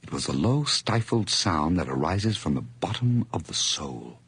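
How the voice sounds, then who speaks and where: An actor playing a feverish male murderer who narrates his crime in a 1980s radio drama, whispering low, then breaking into high, gleeful outbursts. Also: sing-song, unhurried, a bit bright, slightly rough, dry recording, heavily acted. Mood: hushed.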